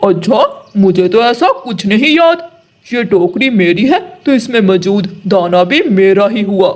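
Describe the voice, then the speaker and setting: A man voicing a greedy, sly male crow in an animated children's story, with noise behind him. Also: high voice, a little slow. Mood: defiant. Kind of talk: animated Hindi moral story dialogue.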